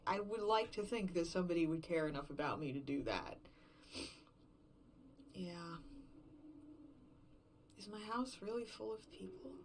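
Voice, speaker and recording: A woman acting a distraught, unraveling survivor alone in an emptied world, in a halting, self-questioning, profane confessional monologue for a horror fiction podcast. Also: mid-range voice, darkish tone, a little hiss or hum, close to an everyday voice, poor audio. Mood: anxious questioning.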